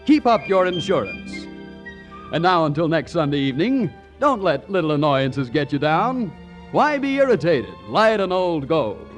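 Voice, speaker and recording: A man's voice: a little high, sing-song, moderate pace, soft background music. A man reading scripted announcements in 1940s radio style on an old broadcast recording.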